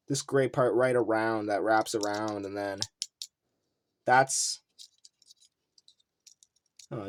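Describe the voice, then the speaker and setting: A video host giving casual unboxing commentary, rambling and thinking aloud while showing collectibles. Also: medium pitch. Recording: noisy room with clicking handling sounds.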